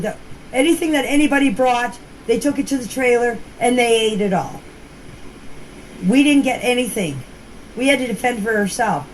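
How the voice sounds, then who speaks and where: An older woman informally recounting events in a hesitant, repetitive way, as if giving her own account in voice messages. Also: fairly high pitch. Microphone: phone voice messages. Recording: noisy amateur voice message recording.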